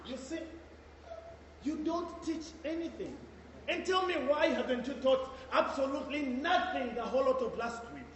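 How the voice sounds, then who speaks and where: A man playing a tyrannical school headmistress in a stage play, booming threats and sneering insults. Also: normal speed. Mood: scornful. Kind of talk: amateur stage play acting. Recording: echoey hall, mic far off, noisy.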